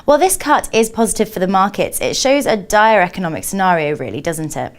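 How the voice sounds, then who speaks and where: A woman's voice: medium pitch, animated, fairly quick. Woman TV news interviewer. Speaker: TV journalist interviewing by phone. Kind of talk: scripted broadcast news interview.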